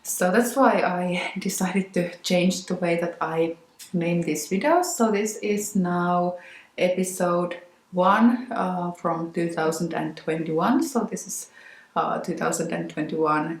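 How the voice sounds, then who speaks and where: A Finnish woman with a Finnish accent chats unhurriedly to her viewers about her knitting work. Her voice sits a little low and she often pauses with ums and restarts. The sound carries an echoey room around her. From Finland. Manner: hesitant, many ums and restarts. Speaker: knitwear designer and podcast host. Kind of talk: casual chat to camera.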